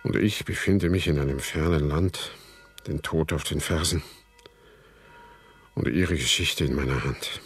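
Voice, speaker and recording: Casting: brooding fugitive storyteller for radio drama, rumbling bass, rough husky tone, measured delivery. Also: male voice, faint music behind, heavily acted. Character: world-weary fugitive man telling his family saga.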